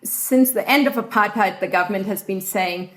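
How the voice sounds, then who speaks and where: A South African woman speaks in a steady, explanatory way at a middling pitch. Her voice rings a little in a reverberant room. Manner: measured, informative, steady. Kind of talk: explanatory interview answers.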